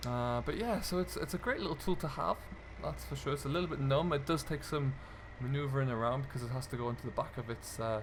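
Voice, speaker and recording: A British man with a mid-pitched voice hosts a gameplay video in a casual, chatty way. He thinks out loud and explains each job as he goes. Engine and vehicle noise runs clearly behind his voice. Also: fast talker, hardly any pauses. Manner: chatty, musing aloud, explanatory. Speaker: video game streamer, host. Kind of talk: casual commentary over farming simulator role play. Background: game tractor and loader engine sounds.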